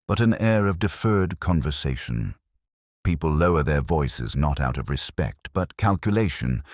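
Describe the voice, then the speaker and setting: A world-weary middle-aged detective, voiced by a man, telling his case in the first person. His voice sits extremely low yet moves through a lively, rising and falling melody. He speaks in a measured, wry way, recorded close and dry with no room sound. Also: no echo, heavily acted. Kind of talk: first-person scripted audio drama narration.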